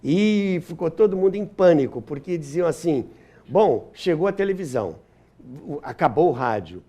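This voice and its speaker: An older Brazilian man speaks as an interview guest in an anecdotal, explanatory way. His voice sits somewhat high and is mellow, with animated intonation. He often repeats a phrase to drive it home. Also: normal speed. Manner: explanatory, acts out quotes, repeats phrases for emphasis. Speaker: interview guest, historian and author.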